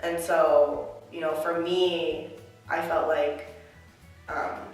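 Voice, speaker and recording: A woman's voice: sits low, level tone, takes it slowly, soft background music. A young woman from the United States answering interview questions about her life as a college student, reflective and earnest, with plenty of filler words. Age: young adult. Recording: echoey room, mic at a distance.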